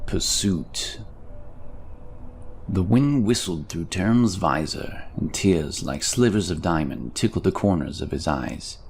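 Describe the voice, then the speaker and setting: A man narrating a scripted fantasy audio story in a measured, dramatic way. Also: low-pitched, lively intonation, gravelly, darkish tone, slight background noise. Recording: music behind the voice. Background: music.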